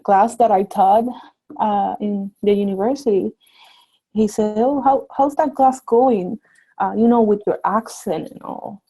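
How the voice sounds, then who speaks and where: A woman's voice: mid-range voice, unhurried, warm tone, slightly rough, rough recording. A woman from Puerto Rico with a Puerto Rican accent, a university instructor describing her experiences in a workshop discussion, earnest and frustrated. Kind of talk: casual group discussion.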